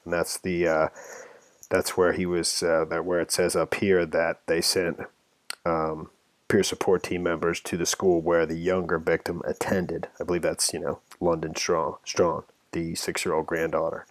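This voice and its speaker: Deep-voiced man in halting news commentary. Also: flat delivery, no echo. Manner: halting, rambling, stumbles over words. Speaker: host commenting on a news story. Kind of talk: informal news commentary, part reading aloud and part ad-libbing.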